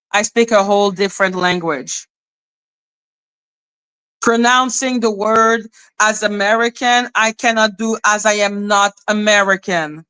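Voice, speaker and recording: Bright-voiced woman ranting. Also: mid-range voice, smooth, dry recording, rough recording. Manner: sarcastic, exasperated, rambling. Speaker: livestream host. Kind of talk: ranting live-stream commentary.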